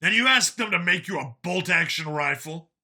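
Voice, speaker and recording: A man plays a stubborn, gruff cop in an audiobook story. His delivery is dry and deliberate, with a very tense, hard-edged tone and lively swings in pitch. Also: full character voice. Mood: incredulous. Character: gruff, stubborn human cop and urban gunfighter, male. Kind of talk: acted audiobook dialogue.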